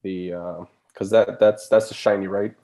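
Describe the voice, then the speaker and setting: A man's voice: low-pitched, fairly even intonation, moderate pace, warm tone. A man co-hosting a live stream, chatting casually, reading viewer questions and often stammering and restarting sentences.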